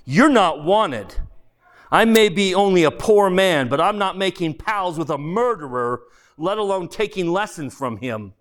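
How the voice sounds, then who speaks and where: A man's voice: high voice, expressive, unhurried, voice pushed up. A man voicing the sulky, indignant ghost of a self-righteous working man in a storybook, blustering and aggrieved in slangy speech. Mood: angry, blustering. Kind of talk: dramatised audiobook character voice.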